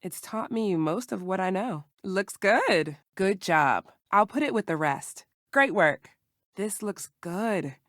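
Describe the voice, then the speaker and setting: A woman playing a careful herbal shopkeeper in a video game, instructive and gentle. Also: mid-range voice, lively intonation, slow pace, dry recording, clean studio sound. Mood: encouraging, explanatory. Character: calm female herbal shopkeeper, patient, careful mentor.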